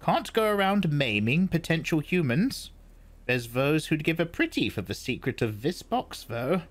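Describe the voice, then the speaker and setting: Stern old woman, probing and scornful, slow and lifted high; male narrator doing the voice. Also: very animated. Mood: dry. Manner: imperious, clipped, probing. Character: stern old woman, commanding Bene Gesserit elder.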